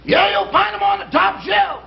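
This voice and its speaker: An actor playing an unhelpful, cheeky valet in a 1950s sitcom, with a gravelly voice and deadpan, unhurried comebacks. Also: male voice, extremely high pitch, raised voice, a faint crowd, heavily acted. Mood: dry, unbothered. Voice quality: gravelly, raspy. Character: unhelpful, cheeky male valet on his day off. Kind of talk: 1950s sitcom acting before an audience. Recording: muffled, noisy 1950s recording with studio audience.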